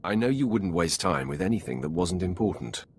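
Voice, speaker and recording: An actor playing a skeptical, commanding dark lord in a video game, curt and authoritative in manner. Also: male voice, deep voice, very animated, fairly quick, slightly rough, full character voice. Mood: probing.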